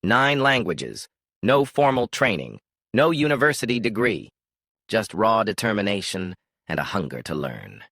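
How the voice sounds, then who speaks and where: A man's voice: lowish voice, big pitch swings, moderate pace, creaky, bright, forceful tone, breathy edge, dry recording, studio quality. A man narrating a scripted story in a dramatic storytelling manner.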